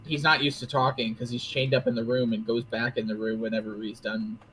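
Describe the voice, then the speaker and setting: A man's voice: mid-range voice, little pitch movement, a little fast, fairly bright tone, slight background noise, poor audio. A man in casual stream banter who teases his co-host with playful insults while keeping an eye on chat messages.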